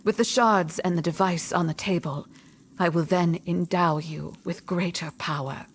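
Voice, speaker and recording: An actress playing a solemn, all-knowing mystic mentor in a video game, measured and cryptic in manner. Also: female voice, on the low side, fairly even intonation, slight background noise, close to an everyday voice. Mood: guiding. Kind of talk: video game cutscene acting.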